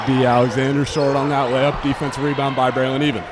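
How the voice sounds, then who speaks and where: A man's voice: mid-range voice, level tone, quick pace, over a noisy crowd. Young man calling basketball over gym noise. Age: teenager or young adult student. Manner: clipped phrases calling each action. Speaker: student play-by-play announcer. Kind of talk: live basketball play-by-play commentary. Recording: noisy gym, bouncing balls behind. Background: gym ambience and basketball bounces.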